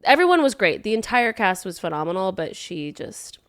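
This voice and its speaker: A woman co-hosting a podcast in a studio, chatting casually, gushing with enthusiasm and quickly agreeing with her co-host. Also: medium pitch, big pitch swings, fairly bright tone, dry recording. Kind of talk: casual chat.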